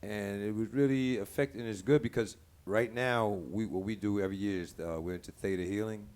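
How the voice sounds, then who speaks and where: A man's voice: lowish voice, a little fast, a little mellow. A man speaking as a guest on a talk show, in casual, earnest, informal talk. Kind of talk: casual chat. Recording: clearly noisy live-stream room sound.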